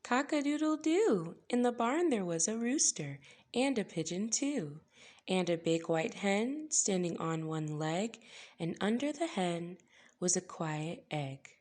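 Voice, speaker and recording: A woman reading a picture book aloud for young children as a storytime host, with measured, gentle phrasing and playful emphasis. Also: fairly low pitch, animated.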